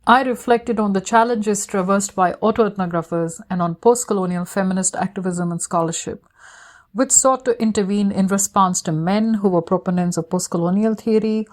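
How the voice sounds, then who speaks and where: A woman whose first language is Tamil, reading her academic thesis aloud in a measured way, citations and page numbers included. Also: mid-range voice, a little mellow. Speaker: researcher explaining her thesis.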